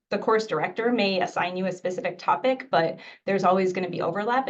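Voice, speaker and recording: A middle-aged woman from Mexico whose first language was Spanish, a physician giving a conversational, earnest webinar talk with frequent fillers. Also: mid-range voice. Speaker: infectious disease physician and mentor. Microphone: video call microphone. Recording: dull, distant video call audio.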